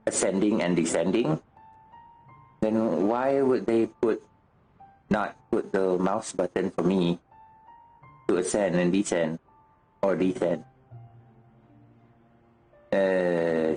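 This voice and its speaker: A man chatting casually as a game streamer, halting and repeating himself as he searches for words, mildly exasperated. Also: lowish voice, mellow, rough recording. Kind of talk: casual livestream chat while gaming. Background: music.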